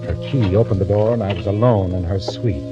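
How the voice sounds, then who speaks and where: An American actor playing a desperate, nervy swindler turned killer, confessing in a tense, dramatic 1940s radio thriller style. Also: male voice, low-pitched, a little fast, dull audio, lightly acted. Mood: nervous, furtive. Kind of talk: 1940s radio thriller acting, first-person narration. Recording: old band-limited radio recording with music.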